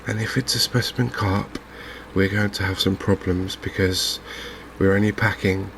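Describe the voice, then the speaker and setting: Man, softly spoken ASMR game commentary, flat intonation, creaky low end, unhurried, faint background noise. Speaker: gaming video host.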